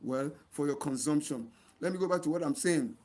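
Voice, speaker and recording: Nigerian guy hosting a livestream, voice a bit high, earnest, keeps repeating stuff to make his point. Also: brisk, a little mellow. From Nigeria, Delta State. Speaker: host of an online channel, NGO advocate. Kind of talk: livestream monologue addressed to viewers.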